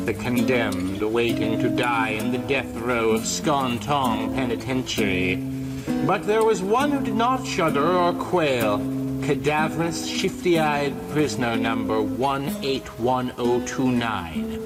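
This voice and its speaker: A man delivers scripted horror narration in an ominous, theatrical style. His pace is unhurried, and prominent music plays behind his voice. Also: mid-range voice, animated, low-quality recording.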